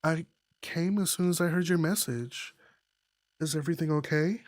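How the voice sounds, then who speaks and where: A woman voicing a gentle, considerate young woman in a visual novel. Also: low voice, moderate pace, no echo, studio quality, voice pushed up, natural voice. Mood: concerned.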